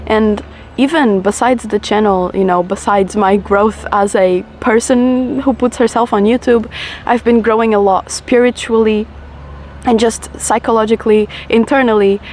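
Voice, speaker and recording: A young woman in her twenties, from Portugal, with a low voice, chatting reflectively and a bit ramblingly to camera while smoking. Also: a little mellow, slight background noise. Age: 20s. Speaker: vlogger. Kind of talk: casual chat to camera.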